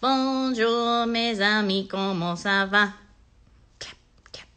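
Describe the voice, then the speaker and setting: A woman voicing a gentle puppet who patiently teaches a song in a slow, sing-song, way for children. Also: medium pitch, clean tone. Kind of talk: children's puppet show performance.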